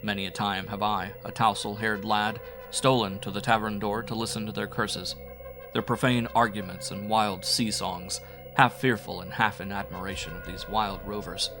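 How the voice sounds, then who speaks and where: Deep-voiced dramatic male horror narrator. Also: steady tone, moderate pace, poor audio. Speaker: audiobook narrator. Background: music.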